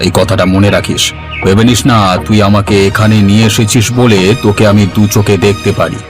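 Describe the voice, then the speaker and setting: A man's voice: on the low side, fairly even intonation, a little fast, over music, lightly acted. A man voicing a haughty, anxious rich village husband in a cartoon story, fussy and exclamatory. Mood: scornful. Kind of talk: Bengali cartoon story voice acting.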